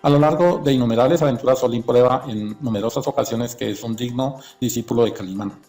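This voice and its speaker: A man's voice: mid-range voice, fast, warm tone, soft background music. A man narrating from a script in an informational documentary style.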